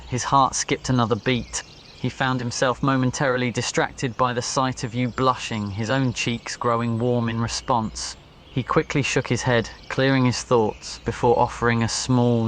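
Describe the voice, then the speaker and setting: A man's voice: medium pitch, fairly bright tone, a little hiss or hum. A man reading a scripted ASMR story as its narrator. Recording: nature ambience behind voice. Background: crickets, birds and insects.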